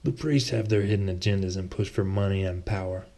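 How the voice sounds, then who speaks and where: A man acts out a hardened swordsman from a fantasy novel. He talks low and curt, full of gravel and blunt scorn, and stubbornly holds his ground. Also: fairly quick, mellow. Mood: scornful. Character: gruff, bitter, stubborn swordsman, old friend.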